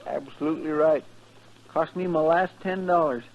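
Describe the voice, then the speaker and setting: A man's voice: on the high side, fairly even intonation, normal speed, lo-fi sound, close to an everyday voice. A man playing a deferential, hapless marshal's sidekick in a 1950s radio western, folksy and plaintive with a rural American drawl. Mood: sheepish, aggrieved. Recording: faintly noisy 1950s radio recording.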